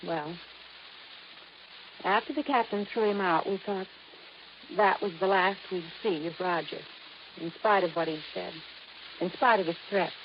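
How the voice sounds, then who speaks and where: An actress playing a young, anxious yet coquettish niece of a sea captain in an old-time radio drama, with an earnest, confiding delivery. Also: female voice, medium pitch, unhurried, long pauses, natural voice. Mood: anxious, urgent. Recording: muffled, hissy old radio recording.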